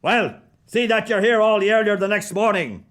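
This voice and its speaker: An actor with an Irish accent playing a grumpy, miserly old moneylender in a radio play, gruff and dismissive. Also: male voice, high voice, moderate pace, fairly bright tone. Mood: grudging.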